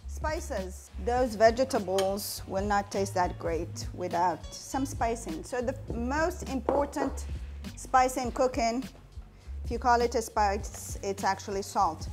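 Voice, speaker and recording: Woman from Morocco, forties, cooking demonstration, slightly high voice, faint music behind, lapel mic. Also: slow pace. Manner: friendly step-by-step explaining while cooking. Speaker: cooking show host. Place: home kitchen, indoors.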